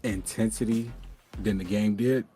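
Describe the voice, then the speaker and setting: A man's voice: fairly low pitch, steady tone, a little slow, dark tone, faint music behind. A man co-hosting a casual podcast, chatting informally. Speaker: podcast co-host. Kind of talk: casual chat.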